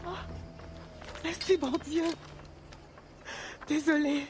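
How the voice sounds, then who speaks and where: A woman's voice: high voice, fairly even intonation, takes it slowly, over music. A woman playing a grateful but wary runaway slave in a video game, with an earnest, urgent manner. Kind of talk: dramatic video game acting.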